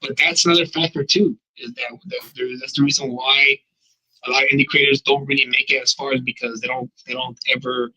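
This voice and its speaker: A man talking through a head cold, his voice stuffy and a little husky. He rants emphatically and rambles in a casual podcast chat, with a bright, forceful edge to the sound. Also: mid-range voice, steady tone, brisk, muffled recording. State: congested from a cold. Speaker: podcast co-host.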